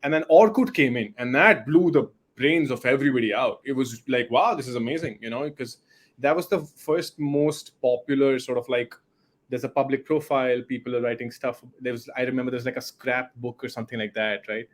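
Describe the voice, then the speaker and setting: A man's voice: mid-range voice, a little fast. Man, late twenties, podcast guest, eager casual talk. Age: 20s.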